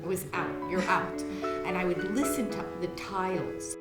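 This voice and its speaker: A woman's voice: lowish voice, animated, normal speed. A middle-aged woman telling a personal childhood memory in conversational interview style. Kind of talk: personal storytelling. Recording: roomy, loud music underneath.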